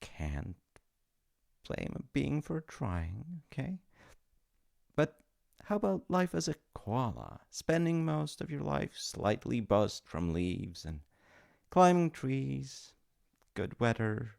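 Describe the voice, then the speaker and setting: Guy acting as an awkward demigod in a comedy roleplay, gravelly, kinda slow, stammers and apologizes a lot. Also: medium pitch, sing-song, darkish tone, heavily acted. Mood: coaxing. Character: flustered, chatty male demigod in charge of reincarnation. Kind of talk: comedic audio roleplay addressed to the listener.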